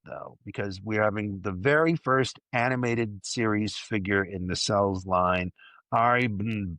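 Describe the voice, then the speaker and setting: A man's voice: mid-range voice, fairly bright tone, dry recording. A man presenting a fan news segment as a podcast host, partly scripted, with playful enthusiasm and wry asides.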